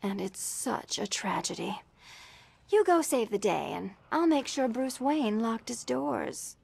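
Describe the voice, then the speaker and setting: A sly, flirtatious cat burglar. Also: female voice, fairly high pitch, animated, unhurried, vocal fry, a little mellow, husky, exaggerated voice. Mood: playful, sly. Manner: teasing, purring, sardonic. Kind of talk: video game character acting.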